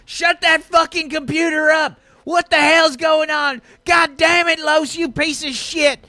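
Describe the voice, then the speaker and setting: A man's voice: very high-pitched, level tone, measured pace, pushed and bright, dry recording, voice pushed up, heavily acted. A man voicing a furious, foul-mouthed male space pilot in a tabletop game, swearing in exasperation.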